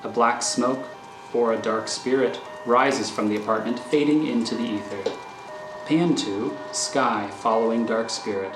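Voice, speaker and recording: Guy narrating a thriller script, mid voice, echoey room, soft music behind. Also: mid-range voice, a little slow. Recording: roomy recording over faint music.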